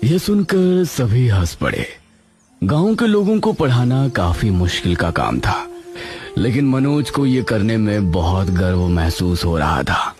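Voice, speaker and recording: A man's voice: lowish voice, very animated, a little slow, darkish tone. A man narrating a scripted cartoon story in a dramatic storytelling manner. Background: music.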